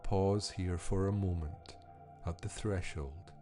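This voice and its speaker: A man reading scripted guided-meditation narration in a soothing, unhurried manner with measured pauses. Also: low-pitched, steady tone, mellow, soft background music. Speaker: podcast host and meditation guide.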